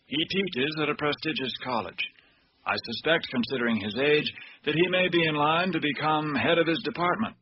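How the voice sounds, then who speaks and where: An actor playing a composed, analytical investigator in a radio mystery, speaking formally and deliberately on an old cassette recording. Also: male voice, a little high, breathy edge. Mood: calm, assured. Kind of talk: radio drama acting. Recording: dull, noisy old cassette tape.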